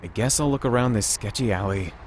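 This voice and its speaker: A man's voice: on the low side, quick pace, slight background noise, lightly acted. An actor playing an anxious, sarcastic young male android in a visual novel game, sulky and worried.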